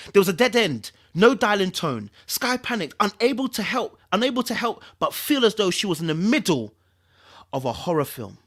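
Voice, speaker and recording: A woman reading a story aloud in a theatrical, suspenseful and informal storytelling style. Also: on the low side. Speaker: host reading a novel aloud to followers. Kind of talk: dramatic storytelling read-aloud with chatty asides and vocal sound effects.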